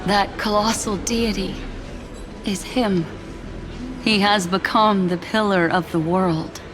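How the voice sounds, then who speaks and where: A woman's voice: mid-range voice, fairly even intonation, slow pace, music in the background, natural voice. A woman voicing a solemn, awed companion in an anime-style video game. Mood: solemn awe.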